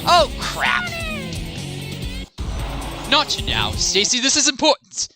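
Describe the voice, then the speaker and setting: A man's voice: very high voice, huge pitch swings, takes it slowly. A man giving casual, rambling commentary while streaming a game, joking about himself and laughing often. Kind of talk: casual live gameplay commentary. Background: video game music.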